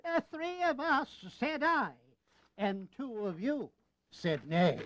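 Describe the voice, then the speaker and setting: A jovial, teasing elder statesman in an audio drama. The voice is high for a man and very lively, with an unhurried, amused drawl and a sly edge. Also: exaggerated voice. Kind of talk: historical audio drama acting.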